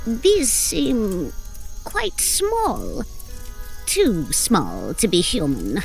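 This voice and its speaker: A woman plays the solemn, long-suffering leader of a witches' coven. She speaks very slowly and portentously, with an expressive melody, over faint music in a horror-comedy audio drama. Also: mid-range voice, gravelly, full character voice, rough recording. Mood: strained patience. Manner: portentous, prim, deliberate.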